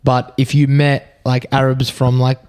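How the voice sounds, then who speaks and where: A man's voice: mid-range voice, completely flat delivery, a little slow, darkish tone, smooth. A young man co-hosting a podcast, chatting casually and filling his talk with "like" and "bro". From Australia. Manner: laid-back, heavy use of "like" and "bro". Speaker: podcast co-host. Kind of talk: casual chat.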